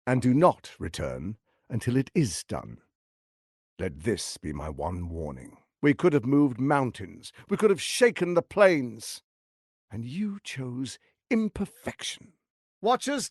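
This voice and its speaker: A male actor playing a suave, scheming, power-hungry lord in a video game, with a theatrical, measured, silky politician's delivery, ruthless and charming. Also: mid-range voice, husky, no room sound, studio quality, exaggerated voice. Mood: menacing, stern, then grand, proclaiming. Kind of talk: dramatic video game voice acting.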